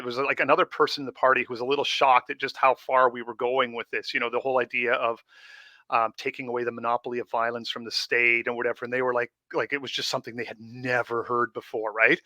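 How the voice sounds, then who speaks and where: Guest, male former pastor, big carrying voice, bright and dry, rambling anecdotal delivery. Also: mid-range voice, dry recording. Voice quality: big voice that carries. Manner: rambling storyteller, heavy on "like" and "you know". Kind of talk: casual podcast conversation.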